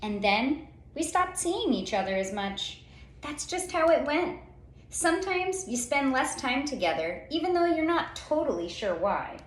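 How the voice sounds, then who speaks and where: Animated, high-pitched woman reading a picture book. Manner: theatrical, with dramatic pauses and drawn-out words. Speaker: children's read-aloud storyteller. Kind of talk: expressive picture-book storytelling. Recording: roomy, echoey sound.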